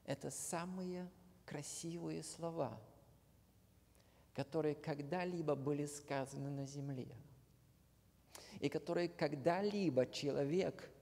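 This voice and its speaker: A man preaching a sermon, slow and earnest, often dropping to a hushed near-whisper at the ends of phrases. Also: fairly high pitch, vocal fry. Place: church hall. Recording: long hall reverb.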